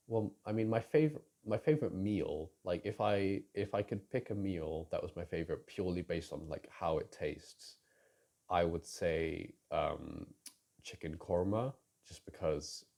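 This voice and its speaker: A young man talks in a very low, deep voice with a dark, mellow tone. He rambles through listener questions, stopping often, restarting and filling gaps with ums. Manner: rambling, hesitant, many fillers and restarts. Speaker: audio roleplay creator answering questions. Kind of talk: casual Q&A chat.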